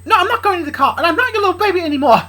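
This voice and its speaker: A woman's voice: fairly high pitch, very animated, rapid-fire, sharp tone, husky, pitched above the performer's own voice, heavily acted. A woman voicing a brash, hot-tempered grown daughter in a story, defiant and insistent, repeating her lines. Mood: angry.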